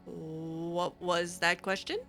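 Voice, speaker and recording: A woman's voice: lowish voice, flat delivery, slow, sharp tone, soft background music. A woman improvising in character over a fantasy game, speaking casually with a hesitant, sassy manner. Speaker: game streamer roleplaying her character.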